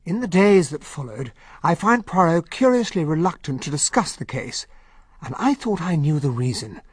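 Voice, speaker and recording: An earnest English gentleman, the devoted companion and narrator in a radio drama. His voice is on the high side and husky, with a well-bred, exclamatory delivery. The sound is dry. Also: big pitch swings, moderate pace, no echo. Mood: reflective.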